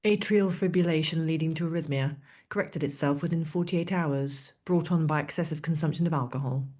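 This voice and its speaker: Woman acting a low-voiced clinical pathologist. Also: brisk, a little mellow. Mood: clinical, detached. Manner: clipped, detached, businesslike. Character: matter-of-fact, clinical female pathologist dictating findings.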